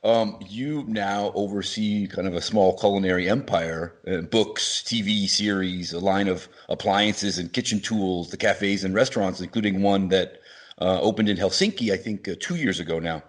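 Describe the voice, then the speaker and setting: A man's voice: low-pitched, moderate pace. A man hosting a podcast interview, asking questions in a conversational style.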